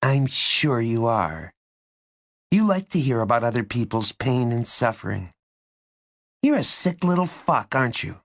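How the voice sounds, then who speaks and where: A man voicing a battered, bitter and defiant teenage girl in an audiobook, with a strained rasp. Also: mid-range voice, very animated, unhurried, no room sound, clean studio sound, voice pushed up. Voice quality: strained, rasping when angry.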